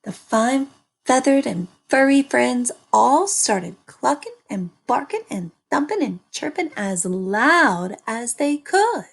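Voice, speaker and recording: A woman reading a children's story aloud with animated, dramatic and deliberate phrasing. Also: sits high, gravelly. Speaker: storybook reader. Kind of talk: animated scripted children's narration.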